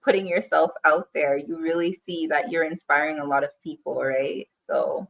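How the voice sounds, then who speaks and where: A woman's voice: low-pitched, steady tone, normal speed, vocal fry, fairly bright tone, highs cut off. A woman in her thirties with a Guyanese accent, a guest chatting casually in an interview and laughing easily.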